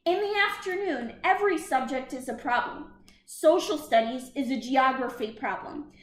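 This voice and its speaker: A woman teacher reading a children's picture book aloud, expressive and deliberate, with questioning lifts. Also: high voice, reverberant.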